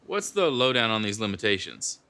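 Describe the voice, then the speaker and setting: A man playing a terse, hardboiled private detective in a noir parody, with clipped deadpan delivery. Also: lowish voice, brisk, slight background noise, full character voice.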